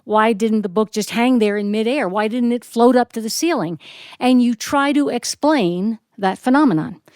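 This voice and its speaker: A woman's voice: on the high side, normal speed, darkish tone, clean tone, dry recording, clean studio sound. An American woman performing as a storyteller, telling a story live. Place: performance studio with audience.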